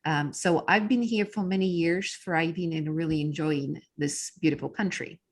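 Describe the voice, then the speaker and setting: A woman's voice: low voice, moderate pace. A middle-aged woman from Poland with a Polish accent, a guest telling her story in a casual interview with many ums and uhs. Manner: frequent fillers, earnest storytelling. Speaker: podcast guest, business coach.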